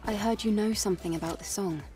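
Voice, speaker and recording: An actress playing a resolute young soldier in a video game, reserved and matter-of-fact. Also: female voice, medium pitch, quick pace, recorded from a distance, music in the background, close to an everyday voice. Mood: calm, polite. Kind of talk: video game cutscene dialogue.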